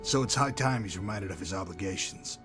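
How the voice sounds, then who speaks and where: Low-voiced, cynical gang enforcer played by a man. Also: a little fast, music in the background, close to an everyday voice. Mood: sly, wry. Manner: calm, wry, menacing, articulate. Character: cold, cynical male former pit fighter turned gang enforcer. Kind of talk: video game character dialogue.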